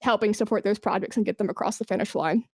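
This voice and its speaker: A woman's voice: mid-range voice, steady tone, fast, no room sound, studio quality. A woman chatting casually as an interview guest, enthusiastic and emphatic about her work. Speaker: podcast guest, esports brand manager.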